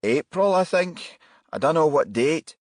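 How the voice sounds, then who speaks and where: A man's voice: fairly high pitch, animated, measured pace, darkish tone, dry recording, studio quality, pitched above the performer's own voice. A man voicing a sullen, defensive man in his late twenties for an audiobook. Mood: offhand, sullen. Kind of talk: audiobook character dialogue.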